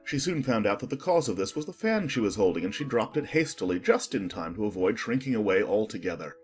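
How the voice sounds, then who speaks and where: An expressive narrator reading a children's storybook in a scripted, dramatized audiobook style. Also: medium pitch, quick pace, soft background music.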